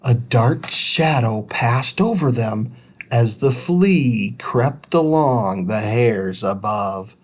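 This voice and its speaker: Slow, very animated male storybook narrator. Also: mid-range voice. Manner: dramatic, with stretched words for suspense. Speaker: storybook reader. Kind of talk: expressive children's audiobook narration.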